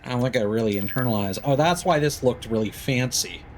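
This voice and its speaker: A man's voice: mid-range voice, a little fast, slight background noise. A man doing casual live gameplay commentary, muttering to himself in short reactions over game sound. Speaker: video game streamer.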